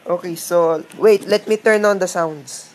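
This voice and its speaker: A woman from the Philippines with a Filipino accent, casually commentating a game she plays, excitable and swearing and counting aloud as she goes. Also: lowish voice, a little slow. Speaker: gaming video host. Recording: loud background noise.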